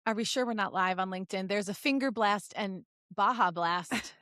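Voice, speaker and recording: A woman's voice: mid-range voice, moderate pace, fairly bright tone, no echo, studio quality. A woman co-hosting a comedy podcast, chatting casually and reading fan fiction aloud in a playful, bawdy, giggly way. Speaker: podcast co-host.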